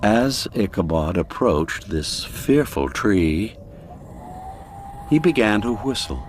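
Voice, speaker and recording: Low-voiced man narrating a slow gothic tale. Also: sing-song, background noise. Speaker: audiobook narrator. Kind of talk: scripted gothic storytelling narration.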